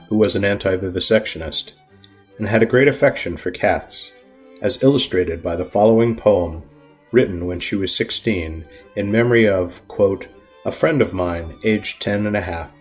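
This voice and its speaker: Man, lowish voice, scripted narration, literary presenter, faint string music behind. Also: normal speed, darkish tone, breathy edge.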